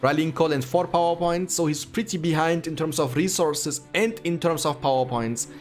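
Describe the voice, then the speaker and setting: A man in his twenties from Turkey, casting a strategy game tournament with quick play-by-play and light jokes. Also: on the high side. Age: 20s. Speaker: esports caster. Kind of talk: game commentary. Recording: music playing behind.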